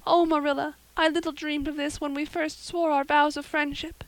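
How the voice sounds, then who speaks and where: A woman's voice: expressive, normal speed. A woman voicing a wailing, melodramatic orphan girl. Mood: pleading, woeful. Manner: high-flown, breathless and wailing. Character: dramatic, tearful orphan girl, about eleven. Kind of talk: audiobook character voice.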